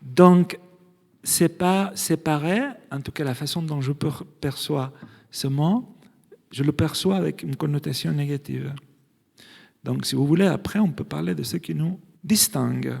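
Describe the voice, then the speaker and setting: A man's voice: a little high, measured pace, creaky, darkish tone, slightly rough. A man who is not a native speaker of the language, a geneticist explaining his field in a public debate, stumbling and repeating words now and then. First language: not French. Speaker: geneticist panelist. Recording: reverberant hall sound.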